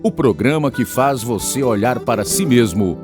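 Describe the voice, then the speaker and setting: Male radio narrator, Brazilian, measured and solemn scripted delivery, mid-range voice, music clearly underneath. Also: medium pitch, lively intonation. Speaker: radio programme announcer and narrator.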